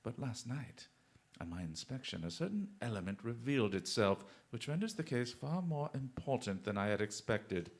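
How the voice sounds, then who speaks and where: Brilliant gentleman sleuth, theatrical radio-play delivery, medium pitch, eloquent, exclamatory, playfully confident. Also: sing-song, moderate pace. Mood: expository. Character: brilliant, theatrical English gentleman detective, playfully self-assured. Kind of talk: radio drama acting.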